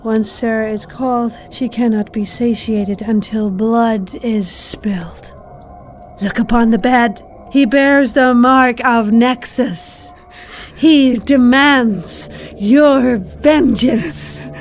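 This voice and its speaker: A woman's voice: fairly high pitch, slow pace, soft background music. A woman playing a vengeful occult summoner in a horror audio drama, solemn and menacing. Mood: solemn incantation. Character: devoted, vengeful female occult summoner, coldly accusing.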